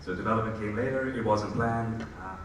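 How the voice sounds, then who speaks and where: A man gives a panel answer in a hesitant way, often pausing on fillers. His voice is far from the mic. It sounds washed in the reverb of a large hall, with noise underneath. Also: fairly low pitch, steady tone. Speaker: panellist, hotel development executive. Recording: echoey hall, distant mic, background noise.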